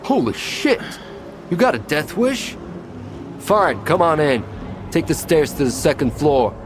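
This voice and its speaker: Casting: gruff game bouncer, confrontational, higher-pitched, swinging delivery, noisy surroundings. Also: male voice, big pitch swings, unhurried. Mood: grudging. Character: hostile, crude gang bouncer guarding a bar. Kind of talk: video game dialogue.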